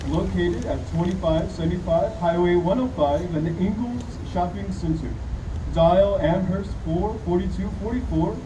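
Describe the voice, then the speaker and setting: A man announcing sponsors in a crisp, old-style radio manner, his voice slightly high. He sounds far off and echoey on an outdoor stage under wind. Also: moderate pace.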